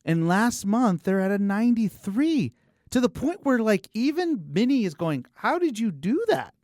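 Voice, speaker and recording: Very animated, emphatic man on a podcast. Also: high-pitched, normal speed, dry recording, studio quality. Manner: emphatic, repeats words for effect; informal, acts out imagined quotes. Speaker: car dealership service coach. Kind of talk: animated business podcast conversation.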